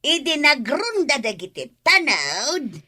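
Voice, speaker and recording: Swooping, bright, bossy village gossip woman. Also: high-pitched, big pitch swings, slow, full character voice. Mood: bossy, huffy. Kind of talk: Ilocano radio comedy drama acting.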